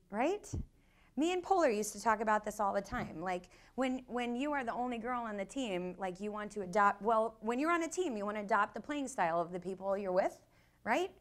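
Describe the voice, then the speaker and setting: Outspoken American woman improv teacher, animated and emphatic, raised voice. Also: fairly high pitch. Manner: blunt, profane, emphatic. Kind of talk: casual podcast interview.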